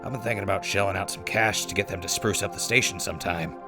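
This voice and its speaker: A man's voice: sits low, quick pace. A man playing a wry, informal ghoul radio host in an audio drama, reading news and ads and given to grumbling. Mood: wry, easygoing. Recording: music behind voice.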